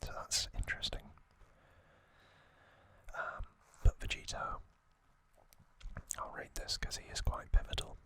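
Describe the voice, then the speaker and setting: A man speaks entirely in a breathy whisper with a very bright, sibilant edge. He takes his time, setting down short, hushed phrases at a slow, deliberate pace. The delivery is that of an ASMR host narrating trivia.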